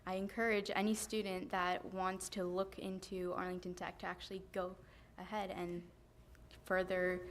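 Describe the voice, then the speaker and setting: A woman's voice: mid-range voice, slight background noise. Earnest teenage girl at a podium. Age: teens. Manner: earnest, steady, many um fillers. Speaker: high school student speaker. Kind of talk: prepared public remarks. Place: school board meeting room, indoors. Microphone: podium microphone.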